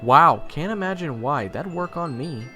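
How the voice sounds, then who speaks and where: A man playing a sarcastic young traveler who narrates his own adventure in a wry, expressive, snarky way. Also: on the high side, moderate pace. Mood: exasperated. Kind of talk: first-person dramatic narration and dialogue. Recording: roomy echo with long reverb, music behind.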